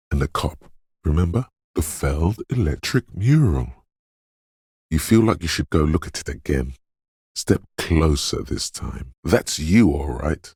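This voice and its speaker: A man voicing a brooding, mystical inner voice of intuition in a video game, with solemn, dreamlike narration and a gravelly bass. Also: darkish tone, no echo, clean studio sound, heavily acted. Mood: hushed, knowing. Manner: slow-savouring, solemn, dreamlike delivery. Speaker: game voice actor.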